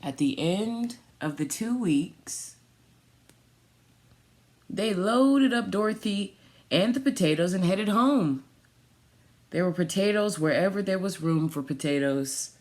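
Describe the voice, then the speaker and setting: A woman reading a picture book aloud for children, pausing and adding little asides about the pictures. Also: medium pitch, animated, darkish tone. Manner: pausing, pointing out pictures to young listeners. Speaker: storytime reader for a children's theatre.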